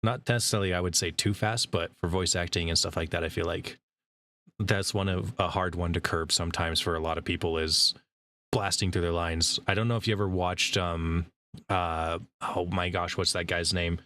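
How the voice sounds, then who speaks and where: Very deep-voiced man hosting chat. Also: moderate pace. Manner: relaxed, with easy laughs and "yeah" backchannels. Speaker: interview host. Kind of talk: casual interview chat.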